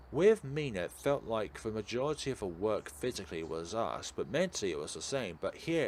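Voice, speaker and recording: A man streaming a visual novel, narrating and commenting in an expressive, emphatic way. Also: lowish voice, a little hiss or hum. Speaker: game streamer. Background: game music.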